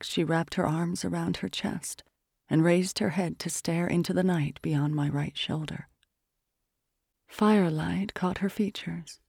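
Husky, gravelly audiobook narrator, often whispered. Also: medium pitch, dry recording, clean studio sound. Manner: hushed, often whispered, tense and measured. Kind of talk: first-person literary audiobook narration.

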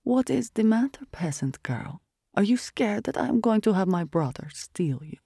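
Scornful young forest fortune-teller woman, acted by the reader, husky and gravelly, pitched higher and whispered. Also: sing-song, normal speed, dark tone, no room sound, clean studio sound, heavily acted. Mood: taunting. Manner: scornful, taunting, drops to a raspy whisper.

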